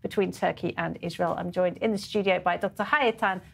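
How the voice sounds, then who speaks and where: A woman's voice: lowish voice, fairly even intonation, a little fast, vocal fry, husky. A woman anchoring a TV news interview in a studio, conversational with some hesitant fillers.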